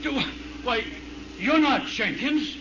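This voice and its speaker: A crotchety, stubborn old rich man in vintage radio drama. His voice is high and indignant, fussy in manner. The recording is dull and clearly noisy. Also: expressive, slow pace, heavily acted. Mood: alarmed. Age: older. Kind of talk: 1930s radio drama acting.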